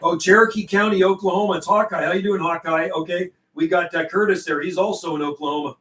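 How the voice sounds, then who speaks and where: A man's voice: sits high, fairly even intonation, fast talker, a bit bright. A man hosting a CB radio livestream, chatting casually in slang and repeating and relaying messages between operators. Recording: roomy, distant microphone.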